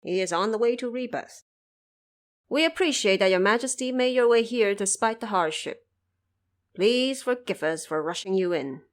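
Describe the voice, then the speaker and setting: A woman's voice: mid-range voice, expressive, moderate pace, close to an everyday voice. A woman voicing the solemn, courteous male ghost kings of the underworld in a formal, declamatory storybook style. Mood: stately, commanding.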